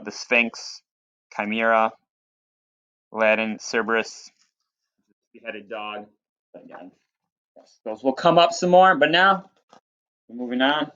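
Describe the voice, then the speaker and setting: A man's voice: mid-range voice, sharp tone. A man reading aloud as a teacher, mixing storybook narration with plain, informal explanatory asides. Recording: dull lo-fi recording.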